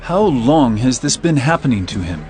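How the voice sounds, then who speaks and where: Stern, solemn male teacher played by a man, commanding, widely varied pitch, audio Bible drama over soft music. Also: sing-song, natural voice. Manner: solemn, commanding, deliberate emphasis.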